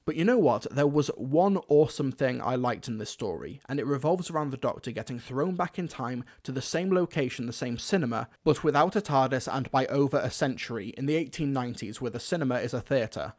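Man, young adult, scripted review, conversational, opinionated, medium pitch. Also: animated, fairly quick, few pauses, a little mellow. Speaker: video reviewer.

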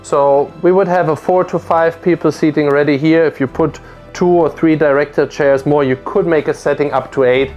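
A man's voice: a little high, steady tone, moderate pace, darkish tone, lo-fi sound. Guy in his 30s walking you round a boat, explains each feature step by step, lapel mic, faint music behind. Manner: explanatory, methodical, lists features. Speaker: boat presenter. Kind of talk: product walkthrough. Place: aboard a motor yacht on a lake, on deck and in cabins.

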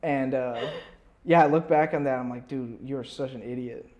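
A man's voice: mid-range voice, moderate pace, darkish tone, muffled recording. A young man talking casually as an interview guest, rambling and slangy, peppering his sentences with "like". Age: young adult. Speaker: musician guest. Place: small furnished indoor room. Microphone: clip-on lapel mic.

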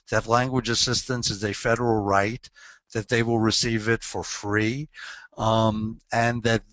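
A man's voice: medium pitch, bright, forceful tone, no echo. A man presenting a webinar on legal compliance, answering a question earnestly and posing rhetorical questions.

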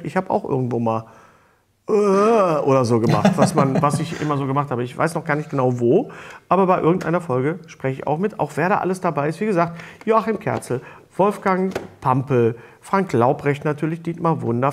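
Middle-aged man hosting, gravelly edge. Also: a little high. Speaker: talk show host. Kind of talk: casual presenting and chat. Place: small studio set, seated at a table. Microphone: lapel mic.

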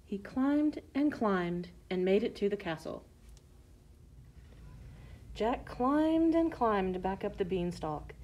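Mellow woman narrating a children's story. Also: medium pitch, lively intonation, slow, pauses often, highs cut off.

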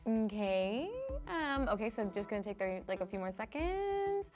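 A young woman with a medium-pitched voice chats as a game show contestant. Her talk is casual and joking, with faint music behind her. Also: big pitch swings, moderate pace. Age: young adult. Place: indoor studio set.

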